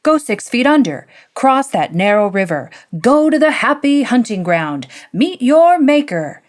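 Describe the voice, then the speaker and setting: A woman's voice: lowish voice, animated, unhurried. A woman doing a sarcastic cocky young man. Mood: taunting.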